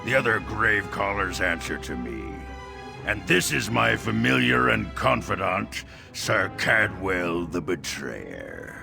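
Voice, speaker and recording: A man playing an arrogant, sinister chief necromancer in a fantasy video game, slow and contemptuous. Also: low-pitched, big pitch swings, music in the background, heavily acted. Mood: haughty, menacing. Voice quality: gravelly. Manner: slow, haughty, contemptuous delivery.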